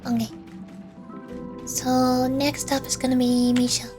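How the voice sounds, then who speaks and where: A woman's voice: sits high, level tone, measured pace, music in the background. A woman VTuber on a game stream, chatting casually and reading the narration aloud.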